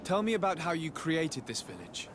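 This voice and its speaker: An actor playing a polite, composed noble envoy in a video game, formal, courteous and inquiring. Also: male voice, a little high, sing-song, normal speed, noisy background. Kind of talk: video game cutscene acting.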